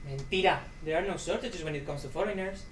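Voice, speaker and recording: Indignant old Cuban grumbler, woman performer, slightly low voice, stage reading, roomy distant mic. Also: quick pace, a little hiss or hum, close to an everyday voice. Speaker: student actor in a dramatic reading. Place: echoey room at a live performance.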